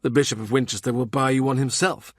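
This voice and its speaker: A man's voice: mid-range voice, fairly even intonation, fast, no echo, clean studio sound, natural voice. A man voicing a shrewd, wry and persuasive royal adviser with a courteous, probing manner in a historical audiobook. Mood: wry persuasion.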